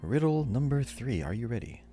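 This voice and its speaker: A man's voice: medium pitch, very animated, moderate pace, mellow. A man co-hosting a podcast and running a quiz in casual, conversational talk. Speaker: podcast co-host and quizmaster. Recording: faint music behind the voice. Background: faint music.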